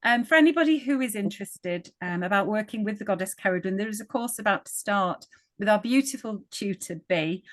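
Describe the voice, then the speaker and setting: A woman from northwest England hosts an online group ritual with an upbeat, chatty manner. Her voice sits at a middle pitch and sounds dry and close, with no room around it. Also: lively intonation, a little fast, dry recording. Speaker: ritual host.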